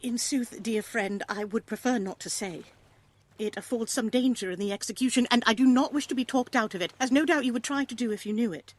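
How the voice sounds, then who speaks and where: A woman's voice: on the high side, brisk, no echo, natural voice. A woman voicing a resolute, passionate young female Tudor musician in an audio play, with urgent, old-fashioned speech. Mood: earnest, tender.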